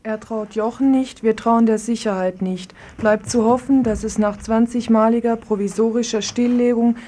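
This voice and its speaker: Mellow, higher-voiced woman radio interviewer. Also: fairly even intonation, normal speed. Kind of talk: radio interview with prepared questions and commentary. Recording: faintly noisy old radio recording.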